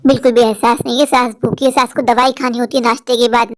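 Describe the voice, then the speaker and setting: A woman's voice: a little high, little pitch movement, quick pace, lightly acted. A woman voicing a bossy, self-pitying older mother-in-law who scolds and complains in a comic family skit. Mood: scolding. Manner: scolding, complaining, shouting for her daughters-in-law.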